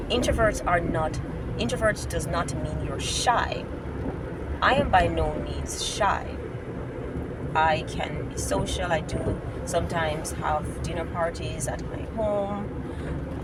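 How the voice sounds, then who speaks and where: Jamaican woman, lowish voice, congested and nasal, chatty fillers, loud road noise with the mic set back in a car. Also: far from the mic. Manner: musing aloud, chatty, with many fillers. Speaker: vlogger. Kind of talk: casual talk to camera while driving. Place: inside a car in city traffic.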